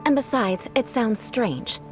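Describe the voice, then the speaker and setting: A woman's voice: fairly high pitch, animated, a little slow. A woman voicing a proud, coolly vengeful young aristocratic knight in an anime video game, haughty, formal and teasing. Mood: formal, instructive. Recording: game music behind.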